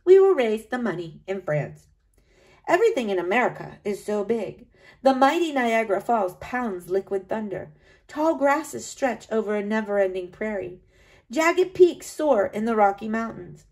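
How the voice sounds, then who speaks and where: A woman's voice: fairly high pitch, very animated, normal speed, a little mellow. A woman voicing a proud, driven French male sculptor in a read-aloud storybook, in an earnest first-person reading.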